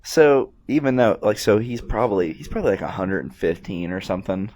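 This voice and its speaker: A man's voice: on the low side, animated, a little fast, darkish tone, highs cut off. A man giving casual, informal film commentary as host, talking like an enthusiastic fan.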